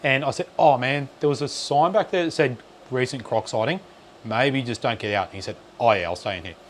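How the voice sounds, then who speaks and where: A man's voice: medium pitch, fairly quick, slight background noise. Easygoing Australian man telling stories. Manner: easygoing, rambling storyteller. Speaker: guest, outdoor adventurer. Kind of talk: casual chat.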